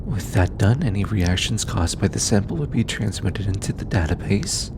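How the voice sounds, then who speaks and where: A man playing a gentle, studious alien scientist in an intimate audio roleplay, soft-spoken and patient, hesitant when flustered. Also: lowish voice, lively intonation, normal speed, noisy background. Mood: calm, focused. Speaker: voice actor. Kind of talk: intimate scripted audio roleplay, addressing the listener.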